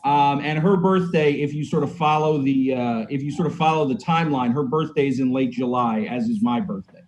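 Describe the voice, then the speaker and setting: A man's voice: mid-range voice, fairly quick, darkish tone, low-quality recording. A man discussing his own writing casually on a video call, hesitant and often repeating his phrases. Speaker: playwright. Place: indoor room, video call. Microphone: computer mic on video call.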